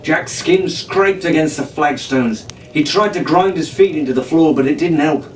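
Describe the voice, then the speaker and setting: A man's voice: a little high, moderate pace. Man narrating a story, echoey and distant. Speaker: storyteller reading aloud. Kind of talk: dramatic storybook narration in pirate persona. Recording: echoey room, distant mic, faint noise.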